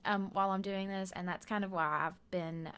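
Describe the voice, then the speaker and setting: Hoarse woman rambling over gameplay. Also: mid-range voice, steady tone, moderate pace. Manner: rambling, many fillers, soft laughs. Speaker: gaming video creator. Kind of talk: casual voiceover over a speed build video. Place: bedroom at home.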